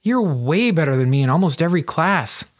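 A man's voice: on the high side, animated, fairly quick, no room sound, clean studio sound, pitched above the performer's own voice, lightly acted. A man voicing a defensive, self-deprecating young wizard schoolboy who protests and mumbles, in a storybook reading. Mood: defensive, glum.

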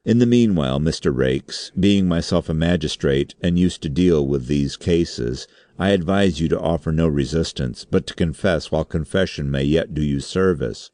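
A man's voice: very deep voice, normal speed, hardly any pauses, mellow, no room sound. A man voicing a stern, grave board chairman and magistrate in an audiobook story, speaking formally and with authority. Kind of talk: audiobook character acting.

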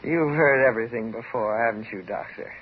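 An actress playing a bitter, neurotic psychiatric patient, sardonic and intense, in a 1950s radio drama. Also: female voice, a bass voice, brisk. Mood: cold, needling. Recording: muffled, noisy 1950s radio recording.

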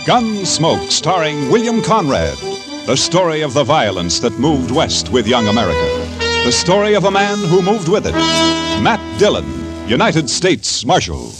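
A man announces in a grave, declamatory 1950s radio style, a little high, with his pitch sweeping widely. Loud theme music plays under his voice. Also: huge pitch swings, a little slow. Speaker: show announcer.

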